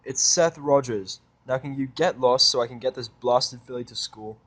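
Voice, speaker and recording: A man reads as a cynical, menacing male loner in a fan-fiction audio drama. His mid-pitched voice is curt and hard, full of scorn for those around him. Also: moderate pace, a bit bright, natural voice. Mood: threatening.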